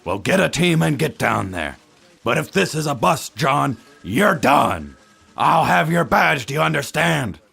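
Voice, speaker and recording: Gravelly, brusque police chief played by a man. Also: fairly high pitch, big pitch swings, unhurried, pushed and bright, heavily acted. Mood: angry, threatening. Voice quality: gravelly, husky. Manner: brusque, commanding. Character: gruff, stern, authoritative male police chief. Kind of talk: radio drama acting.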